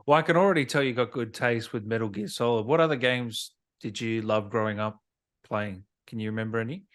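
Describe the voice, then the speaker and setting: A man with a mid-pitched voice interviews a guest in a casual, chatty style. His manner is informal, with plenty of short interjections as he goes. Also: fairly quick, fairly bright tone. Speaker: interviewer, host.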